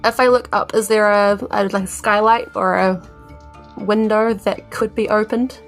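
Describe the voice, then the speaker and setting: A woman's voice: mid-range voice, steady tone, unhurried, faint music behind. A woman chatting casually as a player in a tabletop role-playing game.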